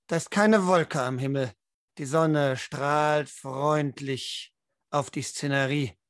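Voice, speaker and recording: A man with a fairly high voice leads a tabletop role-playing session as game master. He takes his time over his words, and the sound is close and dry. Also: fairly even intonation, slow, no room sound. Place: indoor room at home, online video call.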